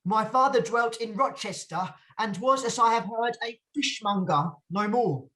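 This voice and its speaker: A woman's voice: fairly high pitch, unhurried, fairly bright tone. A woman playing a plain-spoken orphan youth in a mock tragedy, speaking with simple earnestness. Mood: earnest, plain. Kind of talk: mock-heroic stage tragedy reading.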